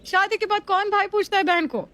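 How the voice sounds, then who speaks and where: A woman's voice: very high-pitched, a little fast, bright, forceful tone. A woman playing a meddling older neighbourhood auntie in a family story, with a taunting, drawling manner. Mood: mocking. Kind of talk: scripted family story acting.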